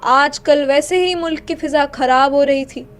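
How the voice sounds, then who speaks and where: A woman with a high voice narrates literary fiction as a dramatic storyteller. Faint music plays behind her reading. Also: unhurried.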